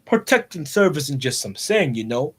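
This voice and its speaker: A man playing a kindly, patient policeman father talking warmly and conversationally with his young son. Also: on the high side, sing-song, moderate pace, husky. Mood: caring.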